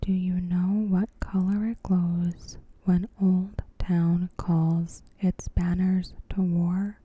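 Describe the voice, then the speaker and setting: A woman narrating an ASMR recap in a soft, breathy whisper, gentle and measured. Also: lowish voice, level tone, no echo, highs cut off.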